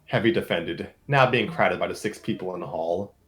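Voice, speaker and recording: Low-voiced man reading a story aloud. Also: moderate pace, fairly bright tone. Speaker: narrator and voice performer on a story-reading show. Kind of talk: dramatic reading of fan fiction with slips and asides.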